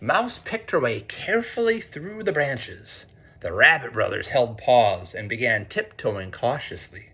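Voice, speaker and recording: A man reads a storybook aloud for children. His delivery is slow and very animated, with a bright, forceful tone and playful asides to the listeners. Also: mid-range voice. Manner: expressive, playful, pausing to ask listeners. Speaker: read-aloud storyteller, teacher. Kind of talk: interactive storybook narration for children.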